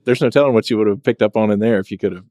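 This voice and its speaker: A man's voice: lowish voice, very fast, warm tone, dry recording, studio quality. A man co-hosting a casual podcast, reacting to stories with short interjections. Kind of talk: casual chat.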